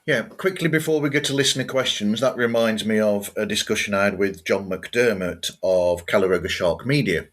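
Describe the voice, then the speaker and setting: A man hosting a podcast interview, giving short agreeing replies and then steering quickly toward listener questions. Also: lowish voice, a bit bright. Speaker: podcast host. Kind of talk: interview conversation.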